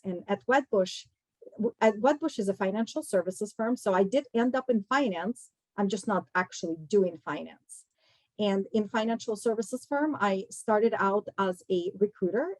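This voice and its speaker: A woman from Kazakhstan speaks as a guest professional to students. Her delivery is conversational and explanatory, addressed straight to the listeners. Her pitch is average for a woman, and the sound is dry. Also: normal speed, a little mellow, dry recording, low-quality recording. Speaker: guest speaker, recruiting executive. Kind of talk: career talk to students.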